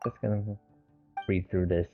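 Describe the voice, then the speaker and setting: A man hosting a livestream, talking casually in a halting, hesitant way. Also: sits low, fairly even intonation. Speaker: livestream host. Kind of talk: casual livestream sign-off talk. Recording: thin phone-like sound, faint music.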